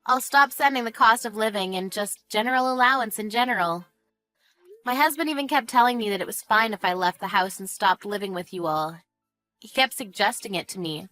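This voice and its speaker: Lady acting fed up wife, sarcastic and smug, sharp bright voice, family drama story. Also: medium pitch, a little fast, faint music behind, natural voice, rough recording. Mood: fed-up, firm. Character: fed-up, sarcastic young wife taunting her in-law. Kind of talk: acted dialogue in narrated family drama.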